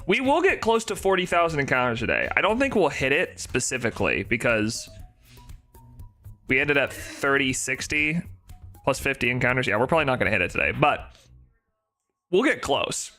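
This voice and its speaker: A man with a nasal voice chatting casually on a live stream, rambling and excitable, with faint music behind him. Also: medium pitch, normal speed. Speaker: shiny-hunting live streamer.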